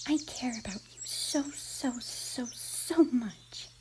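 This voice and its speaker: A woman voicing an affectionate, bubbly young cartoon pony in an ASMR roleplay, with a squeaky, breathy voice and a theatrical, tender manner. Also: lively intonation, takes it slowly. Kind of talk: ASMR fan fiction roleplay. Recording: noisy, birdsong and ambience behind.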